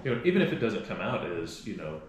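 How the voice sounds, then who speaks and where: A man co-hosting a podcast, speaking in a halting, reflective way with frequent restarts. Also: fairly low pitch, very animated. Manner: halting, restarts often, many fillers. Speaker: podcast co-host. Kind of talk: reflective conversational chat. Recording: very echoey room with faint noise.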